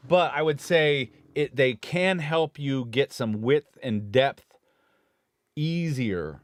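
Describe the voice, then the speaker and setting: A man's voice: mid-range voice, slow pace, no room sound. Rambling studio engineer man, dry sound. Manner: rambling, self-correcting, enthusiastic about gear. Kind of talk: casual live-stream gear chat.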